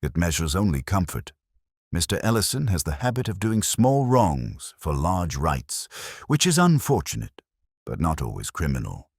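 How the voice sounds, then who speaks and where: Dry ironic detective inspector acted by a guy, deep voice that moves around a lot, deliberate. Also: big pitch swings, gravelly, husky, dry recording, exaggerated voice. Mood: stern, dry. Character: shrewd, measured male police inspector, dryly ironic.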